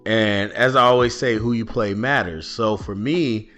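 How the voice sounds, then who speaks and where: A man's voice: fairly low pitch, measured pace, a bit bright, faint music behind. A man hosting his podcast from home, talking loosely with lots of ums while his voice recovers from a cold. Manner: loose rambling, many ums and restarts. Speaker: podcast host. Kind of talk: casual solo podcast talk. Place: at home indoors.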